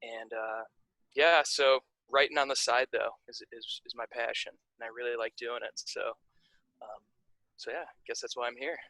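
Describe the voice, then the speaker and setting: Young chicago guy rambling on a podcast, bright voice, dry close sound. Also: medium pitch, moderate pace, creaky, no room sound, low-quality recording. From Chicago area, USA. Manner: relaxed, rambling, many fillers and restarts. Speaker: podcast guest, writer. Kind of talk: casual interview chat.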